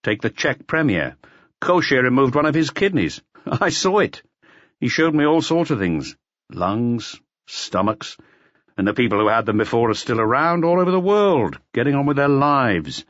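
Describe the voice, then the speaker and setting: A man voicing a brooding, worldly middle-aged police inspector who explains things gruffly and emphatically in an audiobook. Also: medium pitch, sing-song, normal speed, fairly bright tone, dry recording, muffled recording, voice pushed up. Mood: grimly insistent.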